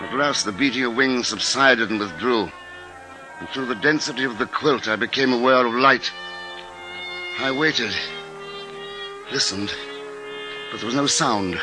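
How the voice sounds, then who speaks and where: An actor playing a shaken but dogged husband and father, narrating gravely and deliberately in a 1950s radio drama. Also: mid-range voice, natural voice, poor audio. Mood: somber, slow. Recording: old 1950s radio broadcast recording. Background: dramatic music.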